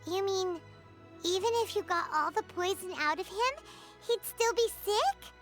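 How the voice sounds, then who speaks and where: A woman voicing a tiny, chatty floating fairy companion in game dialogue, blurting out anxious questions. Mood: puzzled. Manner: quick, high and animated, blurting questions. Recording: soft music underneath.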